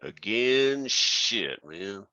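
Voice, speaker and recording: Easygoing male rapper, read by an actor: unhurried, bright-edged voice, slangy, dry lo-fi sound. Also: on the low side, sing-song, dry recording, full character voice. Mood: cool, easygoing. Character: laid-back male hip hop artist, streetwise friend. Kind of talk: dramatic screenplay table read.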